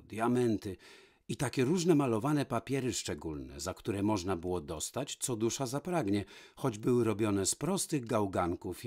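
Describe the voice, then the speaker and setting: Male storyteller, lowish pitch, scripted fairy-tale narration.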